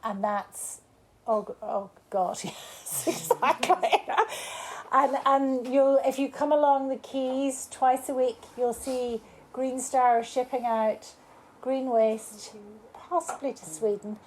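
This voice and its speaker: A woman's voice: sits high, steady tone, slow, darkish tone, slight background noise, low-quality recording. A woman with an Irish accent, not from the local area, talking casually to a live group at a bar event, rambling with many restarts and fillers. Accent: Irish, not from Cork. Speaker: salon speaker.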